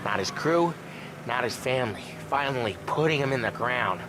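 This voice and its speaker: A man's voice: medium pitch, animated, normal speed, background noise, lightly acted. A man playing an eager, chatty mob hitman. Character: eager male mob hitman, nervous and talkative. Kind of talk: video game cutscene acting. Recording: game cutscene with music.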